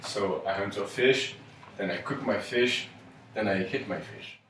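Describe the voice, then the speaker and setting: A man in a focus group with a deadpan, joking delivery. He is heard from across a very echoey room with faint hiss. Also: on the low side, measured pace. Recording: echoey room, far from mic.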